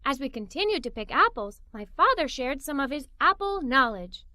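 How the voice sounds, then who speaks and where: A woman narrating a children's story aloud in an expressive, playful way. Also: high-pitched, normal speed, no echo.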